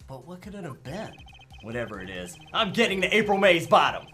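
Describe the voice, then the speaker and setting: A man's voice: a little high, very animated, a little fast, bright, forceful tone, faint music behind. A man voicing a sarcastic young defense attorney in a game fandub, wisecracking and theatrical as he presses his case. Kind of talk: video game fandub character acting.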